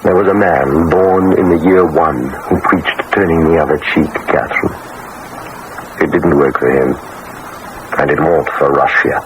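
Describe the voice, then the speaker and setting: An actor playing a grave, conspiratorial courtier in a solemn, intense old radio drama style. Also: male voice, a bass voice, unhurried. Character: grave, conspiratorial male courtier plotting a coup. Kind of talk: 1970s-80s radio drama acting. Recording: noisy old radio broadcast.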